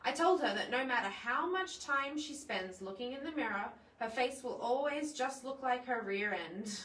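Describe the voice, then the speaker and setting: A woman reading a children's picture book aloud in a playful, expressive way, adding chatty asides for young listeners. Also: high-pitched, moderate pace. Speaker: library storytime presenter. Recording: echoey room, distant microphone.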